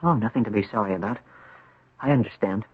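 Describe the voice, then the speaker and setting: An actor in a 1970s radio drama playing an earnest, anguished young man trapped in another man's identity, often halting and pleading. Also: fairly low pitch, lightly acted. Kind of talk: 1970s radio drama acting with first-person narration. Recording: dull, noisy old radio broadcast recording.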